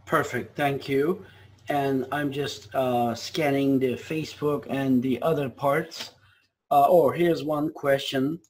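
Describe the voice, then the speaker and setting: A man's voice: medium pitch, steady tone, takes it slowly, a little mellow, slight background noise. A man for whom English is a second language, politely moderating a webinar and reading out audience questions with some hesitation. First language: not English.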